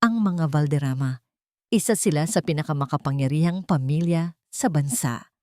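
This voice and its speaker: A woman voicing a calm, doubtful young male journalist in an audio love story. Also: deep voice, no echo, close to an everyday voice. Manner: calm, gentle, measured.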